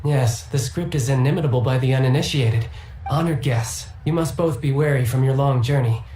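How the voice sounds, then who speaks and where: A man voicing a polite, formal male merchant guild attendant who speaks in a courteous, measured way. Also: medium pitch, warm tone, slight background noise, lightly acted. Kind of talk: video game voice acting. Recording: roomy game audio with music.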